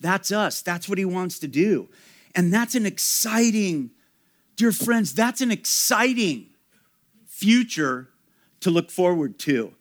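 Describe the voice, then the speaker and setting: A man preaching a sermon to his congregation, earnest and emphatic, speaking straight to his listeners. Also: on the high side. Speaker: pastor.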